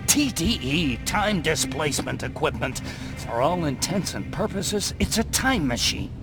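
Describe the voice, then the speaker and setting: An actor playing a brainy, earnest resistance tech expert in a video game, explaining technical things excitably. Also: male voice, on the high side, measured pace, music in the background. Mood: excited, explaining.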